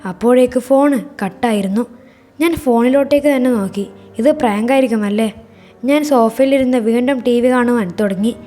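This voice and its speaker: A woman narrates a scripted first-person horror story in a measured, suspenseful way. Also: a little high, warm tone, soft background music.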